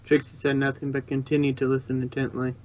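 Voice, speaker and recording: Male narrator, mid-pitched, amateur story reading, occasional stumbles and rereads. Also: completely flat delivery, fast, darkish tone. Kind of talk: amateur read-aloud fan fiction narration.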